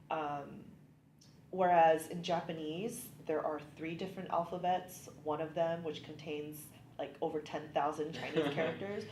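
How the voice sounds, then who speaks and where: Woman, thirties, from California, chatty and upbeat, lowish voice, roomy echo. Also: darkish tone. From California, United States. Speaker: immigration attorney, guest. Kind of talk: casual interview conversation. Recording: echoey room, faint background hiss.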